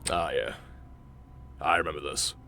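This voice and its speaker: A man hosting a casual reaction video as an amateur voice actor, talking in deliberate, halting phrases. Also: very deep voice, fairly even intonation, creaky, bright, forceful tone, faint music behind.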